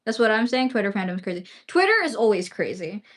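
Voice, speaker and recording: A woman's voice: medium pitch, very animated, fast, a bit bright. Woman streamer from the Philippines, Bisaya speaker, loose rambling chat full of fillers and casual swearing. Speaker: livestreamer.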